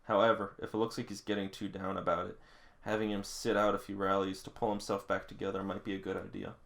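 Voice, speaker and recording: A man reading the part of a measured, strategic male volleyball coach in a manga, explaining things calmly. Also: sits low, gravelly. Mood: calm, considering.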